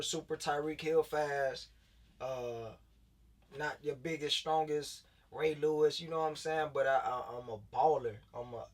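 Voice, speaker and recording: A man in his late twenties talks through his football career in a loose, laid-back way, repeating himself and restarting sentences often. His voice sits in a middle register. He sounds a little distant from the microphone. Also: mid-range voice. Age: late 20s. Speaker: guest, former pro football player turned high school coach. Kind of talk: casual interview talk.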